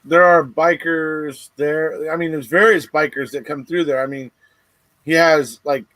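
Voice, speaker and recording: A man's voice: a little high, fairly even intonation, unhurried, fairly bright tone, dry recording. A man talking as a guest in a casual chat, easygoing, with lots of "you know" fillers.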